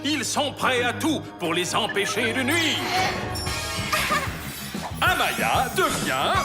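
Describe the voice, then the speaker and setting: A man's voice: extremely high pitch, big pitch swings, takes it slowly, loud music behind the voice, heavily acted. A man playing a grand, suspenseful narrator introducing superheroes in a cartoon. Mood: dramatic. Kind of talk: dramatic scripted cartoon narration.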